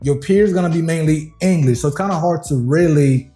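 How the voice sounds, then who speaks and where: A man with a mellow, somewhat high voice hosts a casual podcast, circling his questions with fillers and restarts. Light music plays faintly underneath. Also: brisk. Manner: loose, rambling questions with many fillers. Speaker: podcast host.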